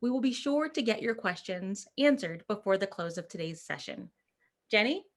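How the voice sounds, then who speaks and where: A woman's voice: mid-range voice, animated, moderate pace. A woman hosting an event, reading procedural announcements. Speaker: event host. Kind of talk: scripted announcements.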